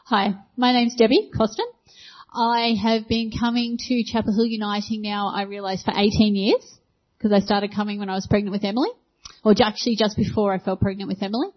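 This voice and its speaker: A woman's voice: medium pitch, little pitch movement, brisk. A woman introducing herself at church in a playful, joking manner into a handheld mic. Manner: playful, jokey. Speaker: church member, craft group leader. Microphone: handheld microphone. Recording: dull lo-fi church recording.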